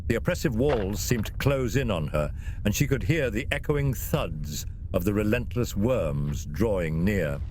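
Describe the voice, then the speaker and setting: A man's voice: on the low side, expressive, unhurried, darkish tone, slight background noise. A man narrating a fantasy story in a dramatic, theatrical and suspenseful scripted style.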